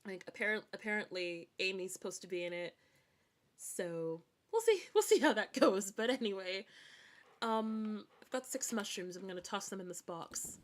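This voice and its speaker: A woman's voice: medium pitch, sing-song, normal speed. A woman from Scotland with a mixed American and British Scottish accent, chatting casually on a gaming livestream in a rambling, hesitant way that often circles back to repeat phrases. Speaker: streamer.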